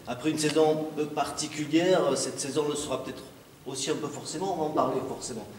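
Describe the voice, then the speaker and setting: Fast chatty guy hosting an interview, lots of euh, echoey theatre room, mic kinda far. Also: on the high side, a little hiss or hum. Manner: chatty, joking, with frequent hesitations. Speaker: host. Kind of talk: casual presenter interview. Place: theatre hall. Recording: roomy hall, voice from a distance.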